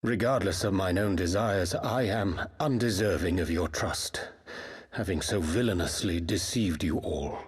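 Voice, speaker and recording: An actor playing a solemn, penitent scholar in a fantasy game, speaking gravely in archaic English. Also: male voice, low voice, measured pace, exaggerated voice. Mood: remorseful. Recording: long hall reverb.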